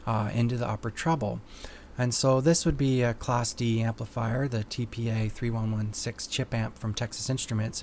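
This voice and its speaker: A man with a mid-pitched voice talks through technical measurements in a measured, halting way. He sounds like an engineer explaining results step by step. There is some faint noise behind him on the recording. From Canada. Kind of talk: technical explanatory talk over test results.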